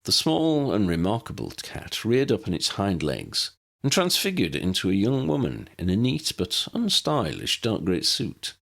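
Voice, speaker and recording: A man's voice: fairly low pitch, very animated, unhurried, vocal fry, few pauses, a bit bright. A man reading a scripted story aloud as an audiobook narrator.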